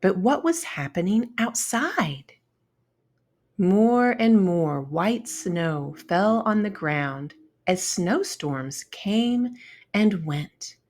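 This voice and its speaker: Slow, expressive woman reading a children's story. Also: mid-range voice. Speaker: storybook narrator. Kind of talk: expressive children's read-aloud narration.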